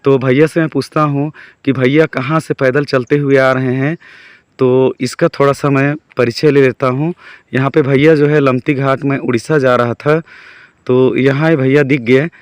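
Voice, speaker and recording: A man with a hoarse throat and a Chhattisgarh Hindi accent chats casually to his viewers. His voice sits at a middle pitch, and it is recorded close and dry. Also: steady tone, normal speed, dry recording. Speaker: vlogger. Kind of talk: casual vlog narration. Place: outdoors on a road.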